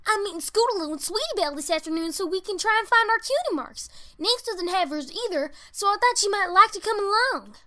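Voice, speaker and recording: A woman's voice: very high voice, animated, brisk, hardly any pauses, pushed and bright, heavily acted. A woman voicing an eager little girl pony in an audio story. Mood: hesitant, pleading. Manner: childlike, eager. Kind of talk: acted audio story dialogue.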